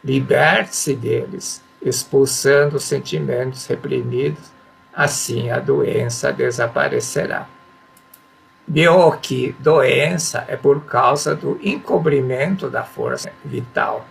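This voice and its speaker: A man's voice: medium pitch, slow pace, vocal fry, a little hiss or hum, poor audio. A man giving a religious teaching talk, reading passages aloud, explaining them and repeating affirmations with emphasis. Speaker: spiritual teacher.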